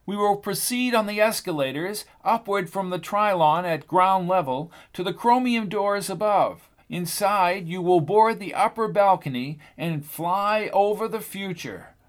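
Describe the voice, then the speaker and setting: A man voicing a poised woman tour guide who speaks in a smooth, polished way. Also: sits high, unhurried, hardly any pauses, voice pushed up.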